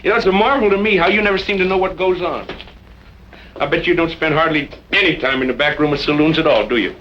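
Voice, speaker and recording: A cocky drifter of the range voiced by a man needles in slangy, talkative American Western speech. The voice is on the high side for a man, heard on a muffled old radio recording. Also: fast talker, a little hiss or hum, natural voice. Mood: taunting, sly. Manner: taunting, slangy, garrulous.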